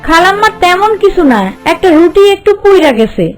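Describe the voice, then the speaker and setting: A woman's voice: extremely high pitch, sing-song, fairly quick, faint music behind. A woman voicing a humble orphan housemaid girl in a Bengali cartoon, meek and earnest, with a rural Bangladeshi accent. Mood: apologetic, pleading.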